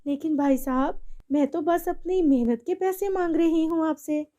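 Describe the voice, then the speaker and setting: A woman playing an earnest, polite young working woman in an animated moral story. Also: high voice, moderate pace, close to an everyday voice. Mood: polite, pleading. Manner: pleading, polite.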